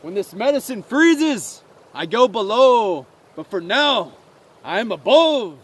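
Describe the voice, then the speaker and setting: A man voicing a calm, sly, wise frog in a story, speaking in a measured, coaxing way. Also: high-pitched, pitched above the performer's own voice, exaggerated voice. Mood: serene, proud.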